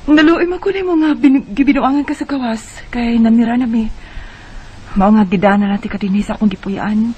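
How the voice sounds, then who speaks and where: Frank waitress, dull lo-fi sound. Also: female voice, on the high side, a little fast, darkish tone, slightly rough. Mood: explaining. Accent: Filipino, Bisaya. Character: kind, frank restaurant waitress helping a drunk customer. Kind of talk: Bisaya radio drama acting. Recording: dull lo-fi sound, faint noise.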